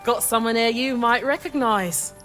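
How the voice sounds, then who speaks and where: An actress playing a haughty, commanding baroness in an audio drama. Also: female voice, on the high side, normal speed, fairly bright tone, faint music behind, natural voice. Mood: brisk, conspiratorial. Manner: grand, commanding, theatrical. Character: imperious woman posing as a haughty baroness.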